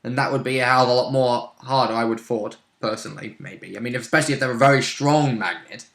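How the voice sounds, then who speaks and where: A man's voice: medium pitch, fast talker, creaky, fairly bright tone. A man chatting informally about tabletop role-playing as one of the players, in a conversational way. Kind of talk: casual chat. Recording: roomy, echoey room sound.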